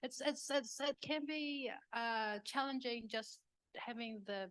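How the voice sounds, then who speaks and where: A woman, a school board member, speaking haltingly with Māori words mixed in. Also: a little high, a bit bright, no echo. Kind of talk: lecture Q&A. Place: parish hall.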